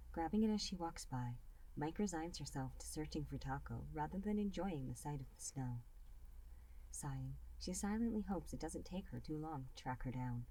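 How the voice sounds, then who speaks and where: A woman reading a fan fiction story aloud as an audiobook narrator, in an expressive storytelling manner. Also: sits low, low-quality recording. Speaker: reader and writer of the story.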